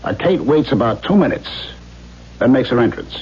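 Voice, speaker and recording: A brash carnival hustler in a vintage radio drama. He has a husky voice that swings widely in pitch, coaxing and cocky. The broadcast sound is muffled. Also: lively intonation, normal speed, a little mellow. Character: brash, fast-talking carnival schemer, cocky and coaxing man. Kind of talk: 1970s radio drama acting.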